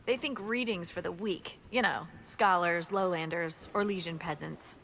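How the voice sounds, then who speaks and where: An actress playing a brisk woman military scout in a video game, briefing in a frank, dry way. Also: on the low side, creaky, a bit bright, a little hiss or hum.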